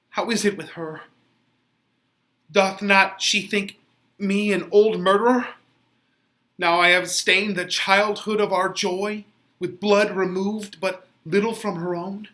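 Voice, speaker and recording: A man's voice: high voice, fairly even intonation, slow pace, pauses often, bright, forceful tone, voice pushed up, full character voice. A man playing a lovesick, despairing young lover, declaiming Shakespeare with tearful, melodramatic anguish. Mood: desperate. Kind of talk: dramatic Shakespeare reading.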